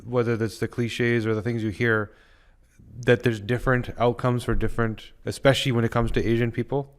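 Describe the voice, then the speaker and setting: A man hosting a podcast, interviewing in a casual, conversational and measured explanatory way. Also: medium pitch, level tone, smooth.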